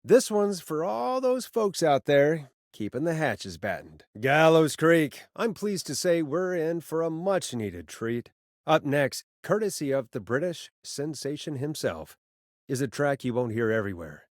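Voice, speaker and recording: A man's voice: on the high side, big pitch swings, a little slow, no room sound, studio quality. A man acting as a late-night radio DJ turned amateur sleuth in a video game, conversational, wry and thoughtful. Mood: smooth on-air patter.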